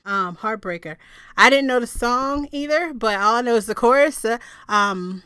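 A young woman talks to her webcam from a small room, high and bright in tone, taking her time and laughing at herself between phrases. The sound is close and dry, with no room echo. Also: animated, moderate pace, clear, resonant tone. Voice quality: low register voice. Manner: giggly, self-deprecating, breaks into song. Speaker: vlogger, singer. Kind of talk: casual chat to camera, singing snippets.

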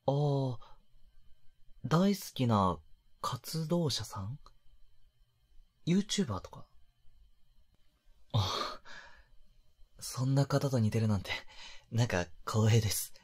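A male voice actor playing a polite, flustered young man in a romantic voice drama, gentle and hesitant, with a soft breathy delivery. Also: mid-range voice, expressive, slow, long pauses. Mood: flustered, apologetic. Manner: hesitant, bashful, apologetic, gentle.

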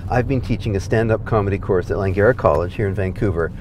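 A man's voice: lowish voice, fast talker, a little mellow, slight background noise. Earnest man talking in a car. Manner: earnest, explanatory, laughs easily. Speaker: guest, comedy program founder. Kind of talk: conversational interview. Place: inside a moving car.

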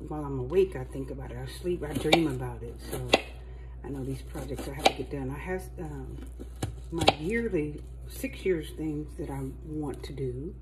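A woman's voice: low-pitched, normal speed, heavy background noise. A middle-aged woman talking casually and encouragingly while prepping food at her kitchen counter, filmed on a phone. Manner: talkative, encouraging, chatty while chopping vegetables. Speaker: home cooking vlogger. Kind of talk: casual chat while cooking. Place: home kitchen counter at night, indoors.

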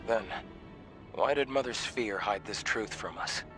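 A man playing a calm, inquisitive companion in a video game, speaking in an understated way. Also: fairly low pitch, a little slow, over music, lightly acted. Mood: sober.

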